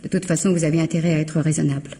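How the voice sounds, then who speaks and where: An actress playing a cold, haughty bourgeois sister-in-law in a French radio mystery, with a condescending, formal, clipped delivery. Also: female voice, low voice, steady tone, rapid-fire, dark tone, breathy edge. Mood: menacing.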